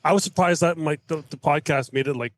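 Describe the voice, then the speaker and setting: Guy guest on a podcast, bit higher voice, casual rambling stories, pokes fun at himself. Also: quick pace, no echo. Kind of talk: casual anecdotal chat.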